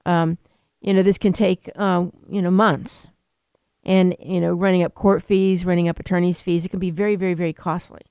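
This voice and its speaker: A woman's voice: lowish voice, little pitch movement, moderate pace, warm tone, smooth, no room sound. A woman attorney explaining elder law on a radio talk show, rambling with many fillers and restarts. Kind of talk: radio interview.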